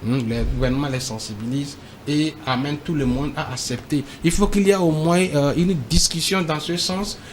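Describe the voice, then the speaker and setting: A man speaking as a debate panelist, halting and repeating himself but emphatic. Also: a little high, a little hiss or hum. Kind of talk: debate commentary.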